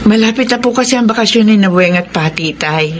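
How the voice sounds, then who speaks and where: A woman's voice: medium pitch, fairly quick, natural voice. A Filipino actress playing a strict, worried middle-aged mother in a radio drama. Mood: respectful. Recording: noisy radio drama recording.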